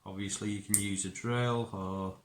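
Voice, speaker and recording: Low-voiced man teaching a craft. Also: steady tone, normal speed, heavy background noise. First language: English. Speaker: bushcraft instructor. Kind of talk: casual hands-on craft tutorial.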